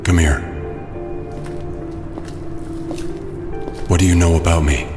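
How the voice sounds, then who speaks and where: A man's voice: sits low, fairly even intonation, fast talker, reverberant, long reverb tail, over music, natural voice. A man playing a terse, commanding and brooding masked vigilante in an audio drama. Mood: stern. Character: brooding, intimidating male masked vigilante with secret gentleman side.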